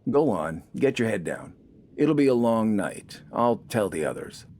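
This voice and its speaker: A man's voice: lowish voice, very animated, a little slow, darkish tone, no room sound, voice pushed up, full character voice. A man voicing a mild, dutiful young militiaman with a friendly, diffident manner. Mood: reassuring.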